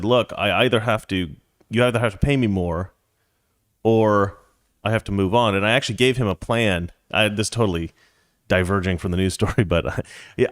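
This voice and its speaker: A man's voice: on the low side, no room sound, clean studio sound. A man hosting a talk show, giving casual political commentary in a rambling, conversational way that drifts into anecdotes.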